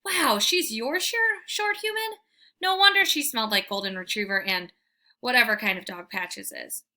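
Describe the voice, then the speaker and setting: Storybook dog, played by a woman, eager and devoted, high pitched, exclamatory. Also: animated, moderate pace, pushed and bright. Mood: indignant, insistent. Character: excitable, devoted male pet dog narrator.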